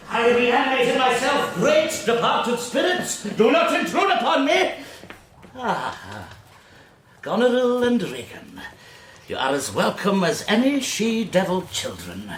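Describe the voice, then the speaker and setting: A grandiose, half-mad gentleman in a period radio drama. His high voice swings hugely as he declaims in florid, self-pitying phrases. There is audible background noise. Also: full character voice. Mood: wild, threatening, then mocking.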